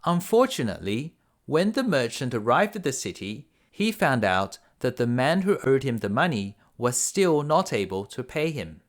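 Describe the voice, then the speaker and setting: A man reading a children's fairy tale aloud as a storyteller, with expressive, deliberate phrasing. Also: mid-range voice.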